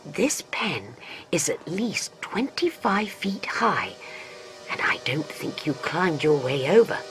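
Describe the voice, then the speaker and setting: A woman voicing a calm, dry-witted male doctor who speaks in a hushed, near-whispered tone of authority. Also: deep voice, slow, faint music behind, heavily acted. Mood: wry, commanding.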